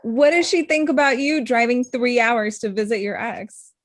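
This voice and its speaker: A woman's voice: on the high side, normal speed, dry recording, clean studio sound, lightly acted. A young woman acting a blunt, hurt, confrontational ex-girlfriend in her thirties in a comedy-drama table read. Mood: exasperated. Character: Amita, blunt, hurt ex-girlfriend, thirty-five, sharp-tongued lawyer.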